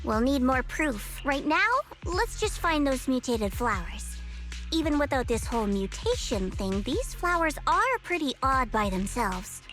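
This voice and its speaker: Shrewd young fighter girl from a video game, high and swoopy, assertive, kinda teasing. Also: big pitch swings, measured pace, fairly bright tone, soft background music, exaggerated voice. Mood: thoughtful. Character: confident, shrewd young female fighter and scout with a teasing streak.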